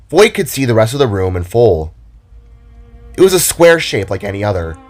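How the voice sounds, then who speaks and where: A man narrating a scripted story in a dramatic, deliberate and theatrical way. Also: mid-range voice. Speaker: narrator and author.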